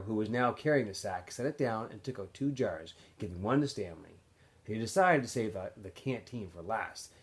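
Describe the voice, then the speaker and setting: A man reading a novel aloud as a teacher would for students, in an expressive, animated storytelling way with phrases that often trail off softer. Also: on the low side. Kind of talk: expressive audiobook-style read-aloud narration.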